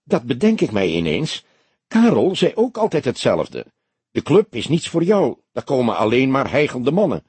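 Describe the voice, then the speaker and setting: A man's voice: medium pitch, wildly swooping pitch, moderate pace, darkish tone, husky, no room sound, voice pushed up, full character voice. A man reading an audiobook, voicing an indignant, guarded woman under questioning who answers sharply and curtly, sometimes hesitantly. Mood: pensive. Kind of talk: audiobook character dialogue.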